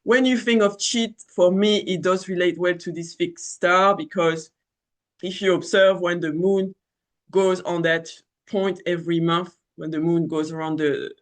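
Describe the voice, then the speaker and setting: A man in his thirties speaks with a French accent, his voice sitting a little high. He talks loosely and casually, circling back on himself with fillers and restarts. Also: steady tone, unhurried. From France. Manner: rambling, many fillers and restarts. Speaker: astrology channel host. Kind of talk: casual spoken astrology talk.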